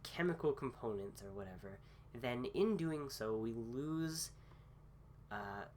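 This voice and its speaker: A man recovering from a cold gives a casual philosophy talk with many pauses, fillers and restarts. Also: mid-range voice, lively intonation, a little mellow, a little hiss or hum. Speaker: host.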